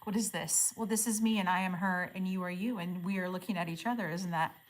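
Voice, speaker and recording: A woman in her forties chatting casually with viewers on a livestream, asking friendly questions and murmuring softly when she reads comments. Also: fairly low pitch, fairly even intonation, quick pace, low-quality recording. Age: 40s. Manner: drops to a soft murmur when reading comments aloud. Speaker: live streamer.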